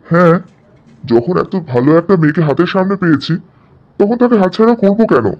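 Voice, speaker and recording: Eager young cartoon bachelor, high, lively male voice, dull audio played by a man. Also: brisk. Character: eager, confident young bachelor seeking a bride. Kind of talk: cartoon dialogue.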